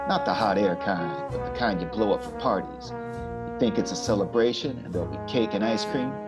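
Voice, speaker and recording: Brass music plays loudly beneath a hard-boiled noir narrator played by a man. His voice is deep, and he tells the detective tale in a sardonic deadpan. The style is scripted radio parody. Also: natural voice. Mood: sardonic musing. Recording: loud brass music under the voice.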